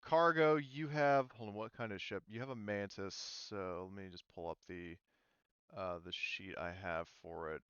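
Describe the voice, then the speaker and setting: A man running a tabletop roleplaying game, casually explaining rules in a halting, rambling, self-interrupting way. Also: lowish voice, a bit bright, clear, resonant tone, dry recording, highs cut off. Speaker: game master.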